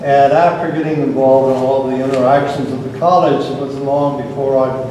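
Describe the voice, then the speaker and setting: A man giving a halting, formal tribute from the audience. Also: medium pitch, steady tone, mellow. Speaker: college council chair, audience speaker. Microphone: distant camera microphone. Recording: echoey room, distant mic, clear noise.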